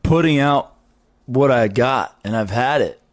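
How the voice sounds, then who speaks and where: A man with a Texan accent and a low voice, a laid-back musician guest talking casually and swearing. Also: measured pace, no room sound. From Austin, Texas. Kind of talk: casual interview chat.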